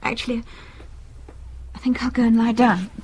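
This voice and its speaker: Husky, fragile, prickly mother. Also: on the high side, fairly even intonation, moderate pace, darkish tone. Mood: groggy, prickly. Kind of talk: British radio drama acting.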